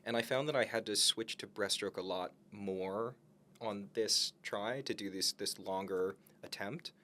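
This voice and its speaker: A man's voice: on the low side, measured pace, sharp tone, a little hiss or hum. A man co-hosting a podcast in casual conversation, speaking in an encouraging, reflective way. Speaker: podcast co-host. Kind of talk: casual conversation about self-improvement.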